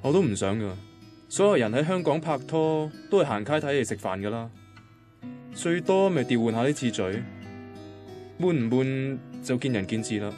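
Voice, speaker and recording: An actor playing an anxious, defensive young boyfriend from a Cantonese radio drama, conversational and grumbling, with a Hong Kong accent. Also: male voice, medium pitch, expressive, normal speed, darkish tone, natural voice. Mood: reflective, uneasy. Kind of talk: radio drama first-person narration and dialogue. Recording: guitar music behind the voice. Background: guitar music.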